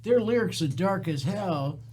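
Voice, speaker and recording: A man's voice: high voice, lively intonation, measured pace, darkish tone, a little hiss or hum. A man who is a singer-songwriter, a guest on a radio talk show. He rambles a little, drops band names and makes fun of himself. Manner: rambling, self-deprecating, lists band names. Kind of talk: casual studio chat. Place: internet radio studio.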